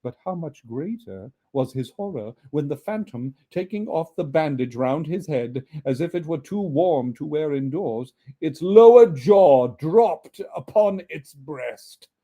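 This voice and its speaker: A male storyteller narrates from a script with great expression. His voice is mellow and moves widely in pitch. He takes his time, reading at an unhurried, slow pace. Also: lively intonation. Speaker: audiobook reader.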